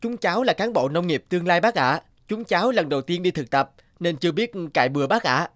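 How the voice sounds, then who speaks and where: A man's voice: high-pitched, expressive, brisk, fairly bright tone. A man voicing a naive young agriculture trainee in a cartoon, given to complaining. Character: eager, grumbling young agriculture student trainee. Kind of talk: dubbed cartoon dialogue. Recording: music behind the voice. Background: music.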